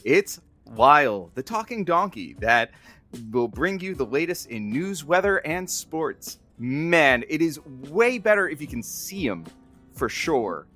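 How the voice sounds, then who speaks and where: A man's voice: fairly high pitch, sharp tone, heavily acted. A man playing an enthusiastic small-station radio announcer reading a promo in a comedy drama.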